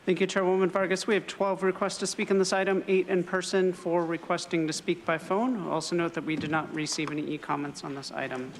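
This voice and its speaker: A man reading out meeting procedure as clerk of the board, steady and formal. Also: sits high, fast talker, hardly any pauses, big-room sound, slight background noise. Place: government board chamber.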